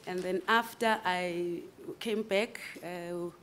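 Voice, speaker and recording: A South African woman in her forties with a lowish voice, answering a panel in a measured, hesitant way. She speaks into a table microphone in a large formal conference room, with a faint hum of ambient noise behind her. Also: steady tone, a bit bright. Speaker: candidate advocate interviewed for judgeship.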